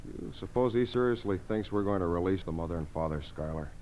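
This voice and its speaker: An actor playing a wry, sardonic FBI agent, a junior partner, in a 1940s radio crime drama. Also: male voice, low-pitched, fast, a little hiss or hum, lightly acted. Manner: dry, sardonic. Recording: dull vintage radio recording.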